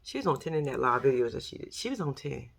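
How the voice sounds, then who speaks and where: A woman with a raspy voice and a New York accent, chatting casually on her vlog in a slangy, animated way. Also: extremely low pitch, fast. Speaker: YouTube commentary host.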